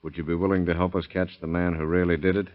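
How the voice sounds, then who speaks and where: An actor in a 1930s radio crime drama playing a shrewd, no-nonsense American police detective, brisk and probing. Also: male voice, low voice, level tone, natural voice. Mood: stern, pressing. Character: shrewd, businesslike male police detective pressing suspects. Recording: muffled vintage 1930s radio recording.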